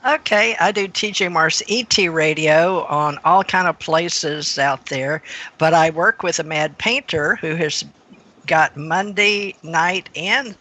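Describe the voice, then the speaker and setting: A woman's voice: lowish voice, slow pace, bright, forceful tone. A woman radio host giving a loose, rambling plug for her shows. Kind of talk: casual radio promo.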